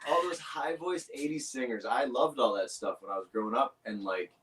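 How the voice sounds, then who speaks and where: A man with a low voice, a guest musician chatting casually and animatedly, full of "you know" and "right". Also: a little fast, fairly bright tone, a little hiss or hum, rough recording. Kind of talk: casual chat.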